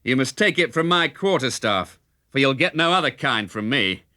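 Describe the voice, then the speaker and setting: A man's voice: medium pitch, animated, moderate pace, pushed and bright. A man voicing a bold, cheeky outlaw hero in a children's storybook, declamatory and teasing. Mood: defiant. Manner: gleeful, taunting, declamatory. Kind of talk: children's storybook character reading.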